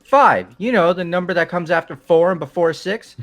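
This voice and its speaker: A man's voice: sits high, moderate pace, fairly bright tone, soft background music. A man voicing an earnest, eccentric teenage schoolboy with dramatic delivery in a fan-voiced game. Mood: earnest enthusiasm.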